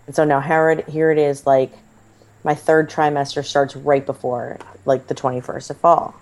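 A woman, likely in her thirties, chatting casually as a podcast co-host, her voice slightly hoarse and froggy, rambling and self-deprecating. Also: sits low, flat delivery, normal speed, darkish tone.

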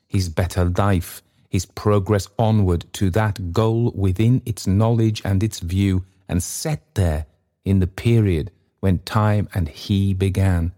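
A man voicing a deep, stern goblin of the bell. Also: warm tone. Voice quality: low and deep. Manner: solemn, slow, accusing oratory. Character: stern goblin spirit of a great church bell. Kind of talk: dramatised storybook reading.

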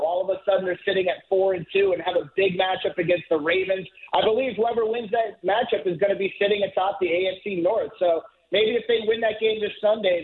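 A man calling in as a football writer, talking analytically and fluently, now and then repeating a word as he starts a thought. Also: sits high. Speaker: NFL writer guest. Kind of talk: phone-in radio interview. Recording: tinny phone line.

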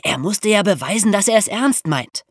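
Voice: mid-range voice, moderate pace, dry recording. A podcast host narrates in a chatty, colloquial style, posing questions and then answering them. The intonation moves up and down with plenty of life. The recording is dry, with no audible room. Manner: animated, colloquial, rhetorical questions. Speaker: podcast host telling true crime stories. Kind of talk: conversational storytelling narration.

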